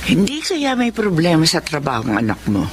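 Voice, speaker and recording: A woman's voice: sits low, huge pitch swings, brisk, a little hiss or hum. A woman playing a concerned older parent in a Filipino radio drama, speaking in a musing way.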